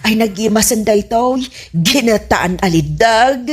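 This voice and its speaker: A woman playing a prying, suspicious old mother in an Ilocano comedy radio drama, animated and probing, with an Ilocano Filipino accent. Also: on the high side, measured pace, breathy edge. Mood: pleased, musing.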